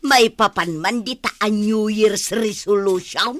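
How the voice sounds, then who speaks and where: Lady doing an easygoing village neighbour in a radio play, bright voice, chatty, no rush. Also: medium pitch, unhurried, no room sound, natural voice. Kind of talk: radio drama dialogue.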